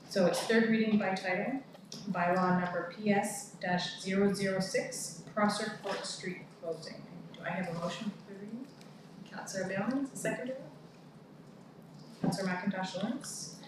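A woman with a Canadian accent chairing a town council meeting, reading motions and calling votes in a brisk, procedural way. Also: medium pitch. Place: large council chamber. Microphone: far room mic. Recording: echoey room from far off, background noise.

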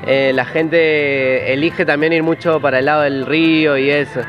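A man's voice: a little high, steady tone, moderate pace. A man in his twenties from Peru, talking casually in an outdoor interview into a handheld microphone. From Lima, Peru. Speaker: tattoo artist being interviewed. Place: outdoor patio. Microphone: handheld reporter microphone. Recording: dull sound with music behind. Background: music.

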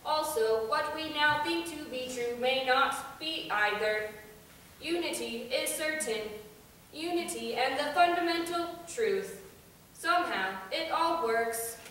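A woman on stage playing a serene, mystical oracle, declaiming a monologue in a deliberate, incantatory way. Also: high voice, lively intonation, a bit bright, a little hiss or hum, full character voice. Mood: solemn, mystical. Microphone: far camera. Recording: echoey theater, recorded from far off.

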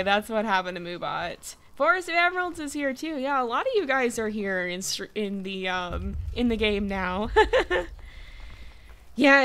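Bright, chatty woman streamer who laughs a lot. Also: medium pitch. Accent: mixed American, slightly British-tinged. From California. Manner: chatty, laughs often, talks to her game and chat. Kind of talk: casual game livestream chat.

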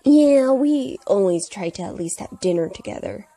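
Woman as a breathy, blunt young male hero. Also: mid-range voice, lively intonation, moderate pace, dark tone. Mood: earnest, apologetic. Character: gruff young male hero, devoted husband, hot-tempered. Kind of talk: comic dub.

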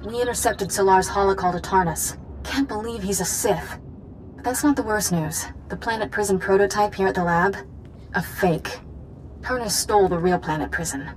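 Actress as female field agent, mid-pitched, brisk, urgent, game cutscene. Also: slight background noise, natural voice, low-quality recording. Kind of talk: video game cutscene acting.

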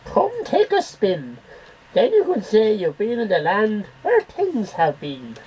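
A man's voice: sits high, huge pitch swings, takes it slowly, a little mellow, exaggerated voice. A man voicing an earnest, theatrical poetry reciter in an amateur home recording. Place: small cluttered bedroom. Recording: dull noisy webcam audio.

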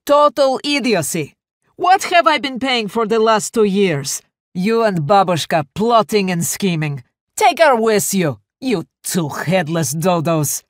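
A woman voicing an exasperated, scornful middle-aged mother who scolds loudly in a shrill, furious voice in an audiobook. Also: expressive, unhurried, dry recording, clean studio sound, natural voice.

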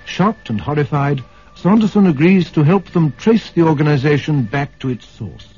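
A man's voice: fairly high pitch, lively intonation, moderate pace, darkish tone, slightly rough, highs cut off. A male radio announcer reading a scripted introduction to a drama in a vintage broadcast. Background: faint music.

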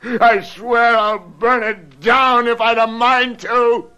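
An actor playing a tyrannical, mocking master of a house in a classic radio drama, sneering and theatrical. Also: male voice, extremely high pitch, little pitch movement, slow. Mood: drunken fury.

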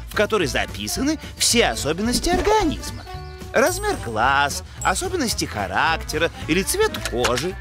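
A scholarly moose scientist from an educational cartoon, with a very animated, swooping delivery. He lectures pedantically and courteously, hesitating as he starts, with prominent music behind him. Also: high voice, heavily acted.

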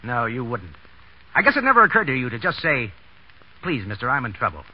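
A man's voice: medium pitch, wildly swooping pitch, a little fast, breathy edge. An actor playing a blunt, bossy, cocky man with slangy scolding talk in 1930s radio drama style. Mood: scornful. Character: brusque, cocky, bossy young wisecracking man. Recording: muffled 1930s radio broadcast.

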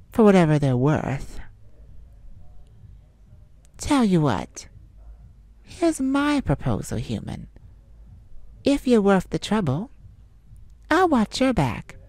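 A woman's voice: sits low, no echo, full character voice. Woman playing a coy, teasing male vampire. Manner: teasing, soft-spoken, slow and taunting. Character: coy flirtatious male vampire, cunning and dangerous. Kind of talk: ASMR roleplay audio.

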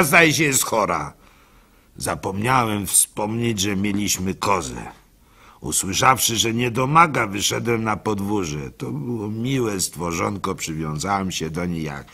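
A man performing a first-person literary monologue for radio as narrator, in an expressive, folksy, conversational manner. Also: lowish voice, vocal fry, breathy edge. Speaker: narrator and storyteller.

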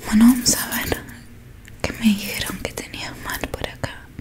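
A woman, doing a soft ASMR roleplay as a technician, murmuring deliberately to one listener close to the microphone. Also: medium pitch, steady tone. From Argentina. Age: 20s. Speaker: ASMR creator playing a robot repair technician. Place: small dim room indoors with curtains. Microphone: close microphone in front of her.

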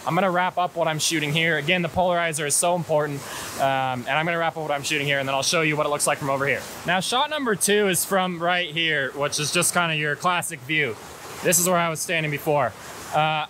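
Bright-voiced young American man over rushing water. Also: fairly high pitch. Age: 20s. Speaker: hiking and photography guide. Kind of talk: casual vlog explanation. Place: outdoors in a canyon beside rushing water. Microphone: action camera at arm's length. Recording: hiss and loud rushing-water noise. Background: rushing water, hiss.

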